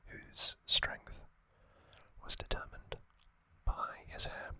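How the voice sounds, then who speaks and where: Slow unvoiced ASMR whisper. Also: no echo. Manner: slow, hushed, casual musing with frequent ums. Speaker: ASMR channel host. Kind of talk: ASMR whispered trivia chat.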